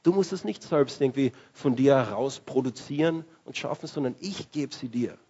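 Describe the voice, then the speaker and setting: A man preaches in a loose, conversational way, often restarting his sentences. His voice is husky and sits at a middle pitch. The recording is dull and lo-fi. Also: expressive, moderate pace, mellow. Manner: conversational, with restarts and searching for words. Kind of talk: sermon.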